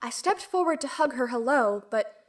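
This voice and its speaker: A woman's voice: sits high, lively intonation, moderate pace. A woman playing an earnest, dutiful young girl who tells her own fairy tale formally in an audio drama. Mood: earnest, reflective. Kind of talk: first-person storybook narration and acting in audio drama.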